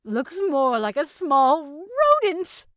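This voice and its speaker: A woman's voice: high-pitched, expressive, slow, no room sound, clean studio sound, pitched above the performer's own voice. A woman voicing a brash, irritable female shopper in an audio story, blunt, exclaiming and exasperated. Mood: startled, irritated.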